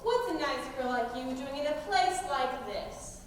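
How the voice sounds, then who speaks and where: Teen girl playing a high-pitched cocky outlaw heroine, echoey hall. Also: lively intonation, a little slow. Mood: wry, teasing. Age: teenager. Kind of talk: high school stage play acting. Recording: distant, echoey hall with background noise.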